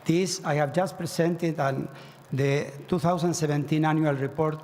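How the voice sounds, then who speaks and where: Guy, kinda high voice, preaching fervently, big echoey hall. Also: steady tone, moderate pace, darkish tone, slight background noise. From Argentina. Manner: earnest, exhorting, with fervent rising emphasis. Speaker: speaker at a spiritual gathering. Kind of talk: devotional address to a large audience. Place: large hall. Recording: long reverb of a large hall.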